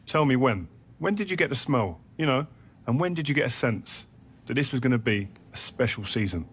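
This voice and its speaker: A man's voice: mid-range voice, lively intonation, brisk, a bit bright, a little hiss or hum. A man playing an easygoing ex-footballer who asks probing questions as an interviewer in a game cutscene. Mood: probing, casual.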